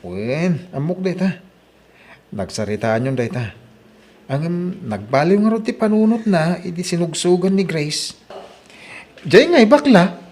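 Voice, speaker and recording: An animated, higher-pitched gossipy friend. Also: male voice, unhurried, long pauses. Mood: confiding. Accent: Ilocano. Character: chatty, teasing, helpful male friend bringing news. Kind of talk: Ilocano radio drama acting.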